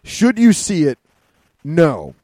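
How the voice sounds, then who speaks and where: A man's voice: on the high side, wildly swooping pitch, slow, mellow, no echo. A man co-hosting a podcast, chatting loosely about a movie with plenty of fillers. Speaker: podcast co-host. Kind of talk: casual movie review chat.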